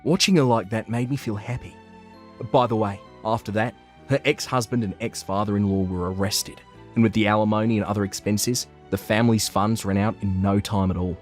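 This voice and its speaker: An earnest young office worker tells his own story in a manga dub voiced by a man. His voice is low, with an anxious edge to the narration. Soft music plays behind him. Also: fairly even intonation, a little fast, lightly acted. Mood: narrating, sympathetic.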